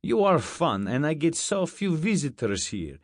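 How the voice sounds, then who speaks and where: A man's voice: fairly high pitch, sing-song, measured pace, no echo, studio quality, voice pushed up, full character voice. A man voicing a fat, jovial, crude Russian guard in a story, with a thick Russian accent and blunt, broken English. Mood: amused, jovial.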